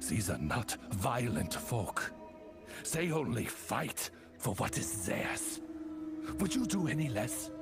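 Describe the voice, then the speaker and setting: A zealous rebel leader in a fantasy game. His delivery is slow, formal and impassioned, swinging widely in pitch with a gravelly creak. Music plays underneath. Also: big pitch swings. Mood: earnest, impassioned. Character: defiant male rebel leader, zealous and righteous. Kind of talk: dramatic game voice acting.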